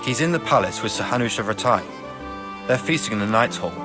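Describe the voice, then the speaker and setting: A man's voice: mid-range voice, lively intonation, fast, over music, close to an everyday voice. A man playing a good-natured, informal castle man in a video game, talking in a familiar way. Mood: matter-of-fact. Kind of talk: video game cutscene acting.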